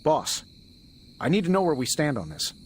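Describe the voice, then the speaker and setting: A man's voice: on the high side, big pitch swings, normal speed, a little hiss or hum. A man acting a cocky, wisecracking superhero team leader in a video game, with a glib, sarcastic, self-assured manner. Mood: insistent, cocky.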